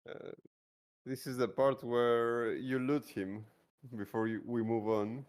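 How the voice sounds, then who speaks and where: A man talking casually as a player in a tabletop game, with wry, measured phrasing. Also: medium pitch, steady tone.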